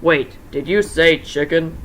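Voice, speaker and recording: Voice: mid-range voice, a little slow, a bit bright, lightly acted. A performer voicing a bossy, flirtatious female animatronic chicken in a fan fiction reading. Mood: curious. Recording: noisy amateur home recording.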